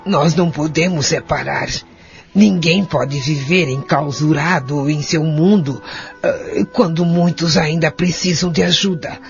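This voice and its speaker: An actor voicing a calm, devout elderly wife who gently moralises in an audio soap opera. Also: male voice, on the high side, measured pace. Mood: consoling. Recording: lo-fi audio under clear music.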